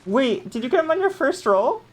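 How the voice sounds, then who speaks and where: A woman's voice: sits high, big pitch swings, a little fast. A woman streamer chatting casually and playfully while playing a game, with a joking, giggly way of talking. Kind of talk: casual playthrough chat and reactions.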